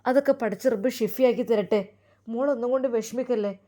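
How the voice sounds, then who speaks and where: Woman playing an anxious, higher-pitched mother. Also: fairly even intonation, quick pace, mellow, natural voice. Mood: consoling. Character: concerned mother questioning and comforting her grown children.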